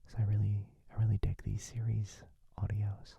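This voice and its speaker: A man chatting casually in a soft, hesitant whisper, like an ASMR creator signing off to listeners. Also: lowish voice, monotone, normal speed, vocal fry, breathy edge, dry recording. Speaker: voice actor and ASMR channel creator.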